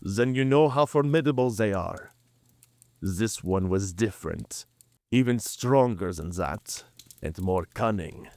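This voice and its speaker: Slow, theatrical, mocking werewolf. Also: male voice, on the low side, expressive, a little mellow, no echo. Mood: grave, intrigued. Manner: theatrical, drawling, chuckling delivery. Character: cunning, proud werewolf, theatrically amused and mocking. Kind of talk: cinematic audio drama acting.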